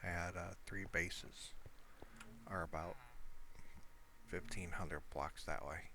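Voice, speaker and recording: A man giving casual, unscripted gameplay commentary in a low-key, rambling, hesitant manner, with trailing pauses. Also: steady tone, creaky, background noise. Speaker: gamer narrating own gameplay video.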